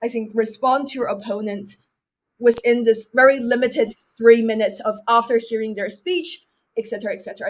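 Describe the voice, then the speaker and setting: A young woman from mainland China speaks English as a second language in a fairly high voice. She is a podcast guest, explaining things earnestly and reflectively, and repeats herself for emphasis. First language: Chinese. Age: young adult. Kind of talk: reflective interview talk with advice.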